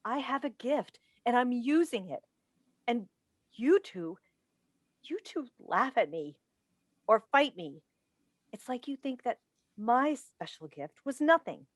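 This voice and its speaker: A woman's voice: a little high, big pitch swings, slow, long pauses, dry recording, exaggerated voice. A woman playing a proud, defensive wife in a staged play reading, earnest in manner, recorded over a video call. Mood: angry, defiant. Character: defensive, proud wife who hosts a talk show.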